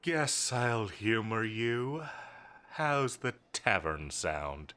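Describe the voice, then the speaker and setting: A man's voice: lowish voice, big pitch swings, extremely slow, bright, forceful tone, clear, resonant tone, exaggerated voice. A man playing a confident, teasing villain commander in a roleplay audio drama, drawling and flirtatious with a bossy edge. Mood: playful, flirtatious. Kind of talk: scripted roleplay audio drama addressed to the listener.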